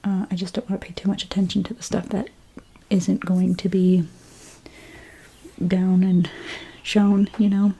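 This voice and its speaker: Breathy whispering woman, mellow ASMR stylist. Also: medium pitch. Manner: gentle, unhurried, softly narrating each step. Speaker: ASMR role-play creator as hairstylist. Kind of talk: ASMR personal-attention role play.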